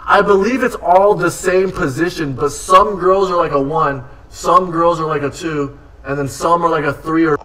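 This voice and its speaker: A man's voice: on the high side, fairly even intonation, moderate pace, hardly any pauses, a bit bright, recorded from a distance, rough recording. A young man hosting a live stream, chatting casually with slang, swearing and playful teasing from a desk in an indoor room. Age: young adult. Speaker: streamer host.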